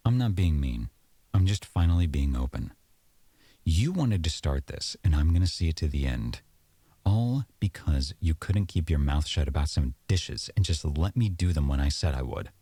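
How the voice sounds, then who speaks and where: A man's voice: very deep voice, animated, normal speed, mellow, slightly rough, no room sound, exaggerated voice. A man playing an aggrieved, sarcastic boyfriend arguing at home in an audio roleplay, accusatory and exasperated. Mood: defiant, bitter. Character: aggrieved, sarcastic boyfriend in a heated domestic argument.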